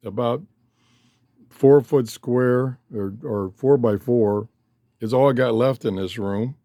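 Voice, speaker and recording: A man's voice: lowish voice, dark tone, dry recording. Older guy rambling on his hobby vlog, takes his time, folksy, pretty dry sound off a phone. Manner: rambling, self-interrupting, folksy. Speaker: host of a shortwave radio hobby channel. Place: cluttered room downstairs at home. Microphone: handheld cell phone.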